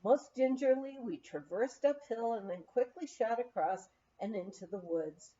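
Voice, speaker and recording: A woman reads a memoir aloud as an audiobook narrator, in a measured, expressive storytelling style. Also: on the high side, lo-fi sound. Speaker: audiobook reader.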